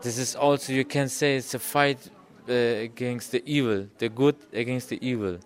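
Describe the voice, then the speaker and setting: A man speaking earnestly in an interview, often pausing with "you can say". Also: medium pitch, steady tone.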